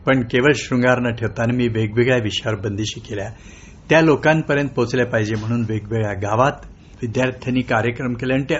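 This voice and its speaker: Elderly man, dull noisy recording. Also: mid-range voice. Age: 70s. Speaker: classical singer and music teacher. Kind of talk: spoken reflection in an interview clip.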